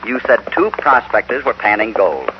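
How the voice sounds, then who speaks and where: A man playing a steady, wry cowboy hero with calm authority in a 1950 radio Western. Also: medium pitch, moderate pace, close to an everyday voice. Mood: wry, relaxed. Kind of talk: 1950s radio Western acting. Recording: scratchy, muffled 1950 radio broadcast, loud hiss.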